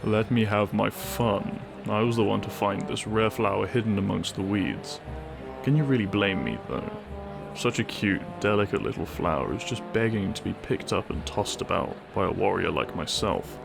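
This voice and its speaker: An actor playing a brash, possessive warrior prince in a romantic roleplay audio drama, gruff, boastful and taunting. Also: male voice, fairly low pitch, moderate pace. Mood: cocky, possessive. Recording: music under the voice.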